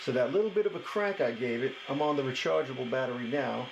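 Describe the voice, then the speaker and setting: A man's voice: mid-range voice, fast, gravelly, dull audio. A man giving a casual, chatty product demo and review, folksy as he talks viewers through each step. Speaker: host of a DIY product review channel. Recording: roomy echo, faint background noise.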